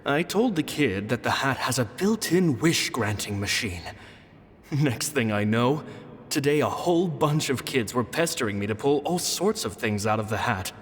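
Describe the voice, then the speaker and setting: A man voicing a kindly, modest stage magician in a video game, narrating his journal in a gentle, reflective way. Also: medium pitch, animated, normal speed, lightly acted. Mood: amused, fond. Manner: gentle, storytelling. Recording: long reverb, music behind.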